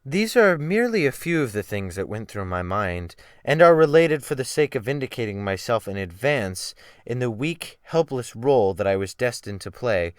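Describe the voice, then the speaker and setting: A man's voice: mid-range voice, clean tone, no echo, studio quality, close to an everyday voice. A man reading a dramatic audiobook as a refined, bookish, self-pitying scholar, with fussy, emphatic literary phrasing.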